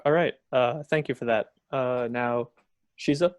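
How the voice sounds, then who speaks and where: A young man moderating a student debate in a relaxed, filler-heavy way. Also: mid-range voice, unhurried, clear, resonant tone, no room sound.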